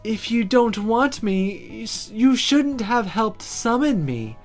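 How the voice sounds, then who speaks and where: A seductive, teasing she-demon from a visual novel, acted out by a woman. She drawls suggestively in a breathy tone, slowly, with lively pitch. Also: soft background music. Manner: drawn-out, suggestive purring delivery.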